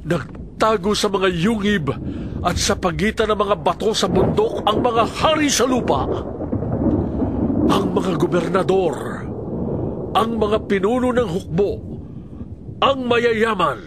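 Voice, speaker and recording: A man reading dramatic Bible narration in a solemn, deliberate manner. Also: high voice, animated, very noisy.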